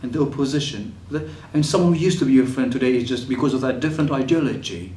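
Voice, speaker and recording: A man's voice: medium pitch, quick pace, dark tone. A young man from Syria, giving a personal testimony with many fillers and restarts. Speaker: refugee telling his story. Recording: echoey room, mic at a distance.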